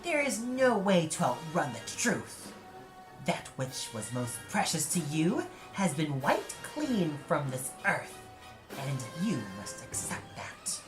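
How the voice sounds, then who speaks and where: A man's voice: on the high side, very animated, music in the background, voice pushed up. A man voicing Grief, a relentless, witch-like old woman with a blunt, insistent way of speaking, in an audio play. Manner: blunt, drawn-out, insistent delivery. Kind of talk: audio play character acting. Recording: echoey, with noise behind.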